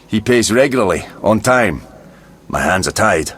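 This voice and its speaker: A man's voice: on the low side, expressive, normal speed, fairly bright tone, breathy edge. A man playing a crude, cynical dwarf mercenary in a video game, talking in a blunt, sardonic, earthy way. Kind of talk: video game dialogue acting.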